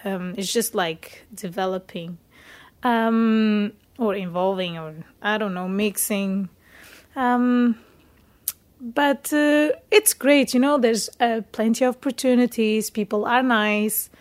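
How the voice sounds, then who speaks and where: A young Portuguese woman talks casually about her own experiences as an interviewee. Her voice sits a little high, and her accent is Portuguese. English is not her native language, so she hesitates and searches for words as she goes. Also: slow pace. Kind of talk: casual personal storytelling in an interview.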